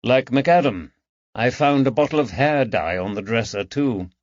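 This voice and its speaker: A man voicing a composed, inquisitive detective in an audiobook, measured and probing. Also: mid-range voice, dry recording, lo-fi sound, natural voice. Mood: calm, wry. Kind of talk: audiobook character dialogue.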